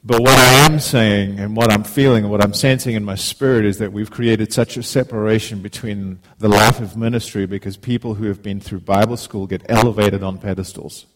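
A man's voice: fairly low pitch, steady tone, brisk, few pauses, poor audio. A middle-aged man from Zimbabwe, giving an informal, heartfelt sermon as a guest preacher, often repeating words as he starts a phrase.

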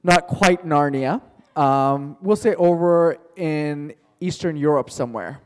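A male teacher spins a playful, made-up story for his class, joking along the way and calling on students by name. He speaks slowly and a bit high for a man. His voice rings in a classroom with a long echo. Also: clear, resonant tone.

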